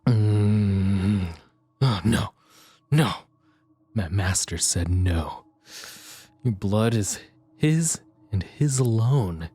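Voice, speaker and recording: A man playing a sinister, gleeful vampire's minion in an audio roleplay, servile to his master, with a raspy, breathy, creepy delivery that savors each word. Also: fairly low pitch, expressive, mellow, dry recording, exaggerated voice. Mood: hungry craving. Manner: slow, creepy, drawn-out, savoring words. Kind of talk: ASMR roleplay acting.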